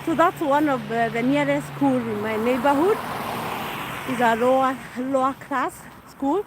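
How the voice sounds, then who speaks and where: A Kenyan-born woman in her forties, Kikuyu her first language, talks slowly and chattily as she strolls. Her voice sits a little high for a woman. She speaks into a phone outdoors, with loud wind and traffic noise all around. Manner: unhurried, chatty, frequent restarts. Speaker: livestream host. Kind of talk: casual walking livestream. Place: outdoors on a winter street.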